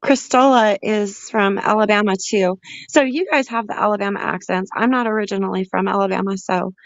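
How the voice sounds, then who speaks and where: A woman's voice: medium pitch, steady tone, brisk, dry recording. A woman from the West Coast, a guest chatting casually and talkatively in a live stream. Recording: dull dry call audio.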